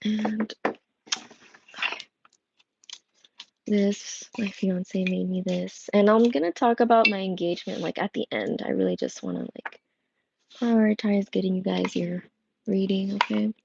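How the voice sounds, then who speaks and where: Young woman tarot reader, worn voice, unhurried rambling chat, gravelly edge. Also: mid-range voice, steady tone, highs cut off, noisy background. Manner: rambling, many fillers and restarts. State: voice strained and worn. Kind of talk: casual livestream chat. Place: home room with coffee machine. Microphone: earbud headset mic.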